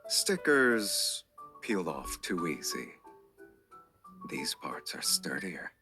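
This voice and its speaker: An actor playing a smug, protective game love interest who teases slowly and calmly. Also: male voice, deep voice, music in the background, heavily acted. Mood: teasing. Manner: teasing and unhurried.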